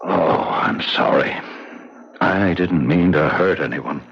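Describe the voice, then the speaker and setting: An actor playing a defensive, uneasy psychiatrist in a 1970s radio drama, formal and guarded in manner. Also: male voice, low voice, takes it slowly, creaky, breathy edge, full character voice. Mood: uneasy, regretful. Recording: dull old radio recording.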